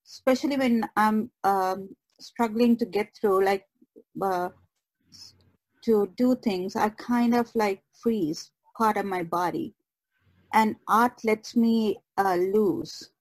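A woman guest in a reflective podcast conversation on a video call, speaking haltingly and searching for words. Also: mid-range voice, a little mellow, low-quality recording. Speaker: guest artist.

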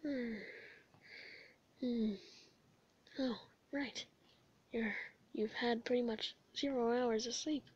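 A woman's voice: fairly high pitch, fairly even intonation, slow pace, pauses often, darkish tone, slightly rough, lo-fi sound. A woman voicing a gloomy, sleepy male skeleton in a comic dub, muted and hesitant with sighs. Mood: weary. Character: gloomy, sleepy, low-key older brother skeleton.

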